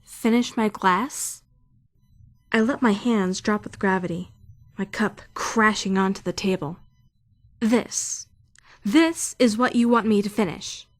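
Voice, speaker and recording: A woman's voice: mid-range voice, slow, pauses often, no room sound. A woman playing a prim, bookish young student who is easily indignant, in a fan-dubbed visual novel with formal, theatrical delivery. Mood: appalled, defiant. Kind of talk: first-person visual novel fan dub narration and dialogue. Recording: music behind the voice.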